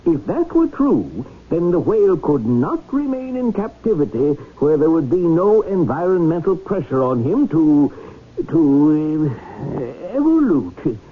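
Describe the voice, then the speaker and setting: An actor playing a solemn, patient male lawyer who talks in a formal, measured, legalistic way in a 1970s radio drama. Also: on the high side, very animated, slightly rough, full character voice. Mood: explanatory, pompous. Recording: tinny narrow-band 1970s radio recording.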